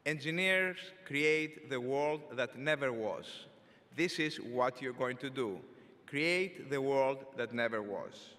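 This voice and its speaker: A man's voice: on the high side, pushed and bright. Older man addressing a cavernous arena. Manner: measured, deliberate delivery with occasional filler words. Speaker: engineering school dean. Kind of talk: formal commencement address. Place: large arena stage at a podium. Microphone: podium microphone. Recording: long reverb of a large arena.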